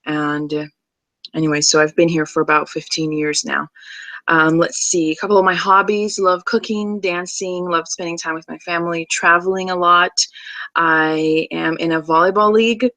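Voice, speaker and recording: A woman's voice: on the low side, steady tone, moderate pace, bright, forceful tone, no room sound. A woman in her thirties, originally from Brazil, chatting casually as she introduces herself to a class, with many ums and restarts. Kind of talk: casual self-introduction video.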